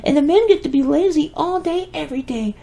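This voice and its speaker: A tiny giggly fairy wife, very high. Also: female voice, brisk, gravelly, mellow. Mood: cheerful. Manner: high and sing-song, giggling. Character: sweet giggly female fairy, tiny, submissive.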